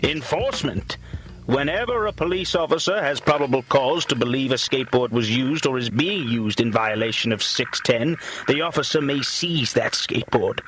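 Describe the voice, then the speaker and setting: A man narrating in a mock-solemn documentary style, like a grave orator, with pompous and deliberate delivery. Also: mid-range voice, animated, background noise. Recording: music behind the voice. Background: music.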